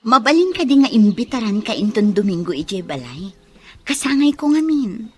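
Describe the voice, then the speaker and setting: A woman's voice: a little high, sing-song, moderate pace. An actress playing a lovestruck young woman in an Ilocano radio drama, speaking with an Ilocano accent. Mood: wistful, friendly. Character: lovestruck young woman hosting her birthday drinking party.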